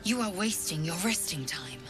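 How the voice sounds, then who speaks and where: Actress as stern alien warrior woman, fantasy game, low and slow, clipped, soft music under it. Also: lively intonation, natural voice. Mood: haughty, brooding. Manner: clipped, imperious, formal. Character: haughty, stern female alien githyanki warrior.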